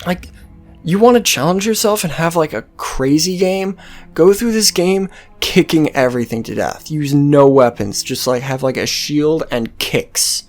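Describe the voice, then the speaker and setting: Slow-paced man rambling casually about games. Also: on the high side, faint music behind. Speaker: gaming video host. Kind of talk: casual unscripted game commentary.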